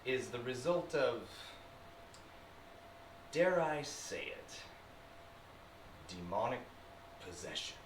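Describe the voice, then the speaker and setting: A man's voice: fairly high pitch, a bit bright, full character voice. A man playing a sly, taunting demon in a theatrical, sinister monologue spoken to the viewer. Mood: sinister, teasing. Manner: slow deliberate delivery with sinister chuckles and sudden swells. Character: sly, taunting male demon possessing a human, theatrical vlogger. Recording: roomy, distant mic with faint noise.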